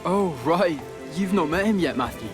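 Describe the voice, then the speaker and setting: High, eager, curious youngster. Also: male voice, expressive, normal speed, over music. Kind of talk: video game cutscene acting.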